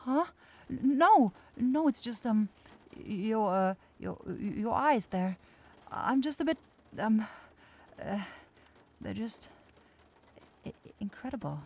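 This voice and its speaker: A woman's voice: fairly high pitch, lively intonation, takes it slowly, gravelly, pauses often, full character voice. A woman voicing a caring young forest witch in a fantasy ASMR roleplay, nervous, rambling and apologetic. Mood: shy, flustered.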